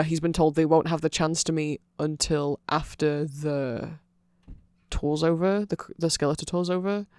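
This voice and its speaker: A nerdy male vampire, played by a man, explains lore in an ASMR ramble, earnest and full of fillers. His voice sits slightly high for a man, and the sound is very dry, with no room around it. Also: no echo, lightly acted. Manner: rambling, filler-heavy, earnest fannish enthusiasm.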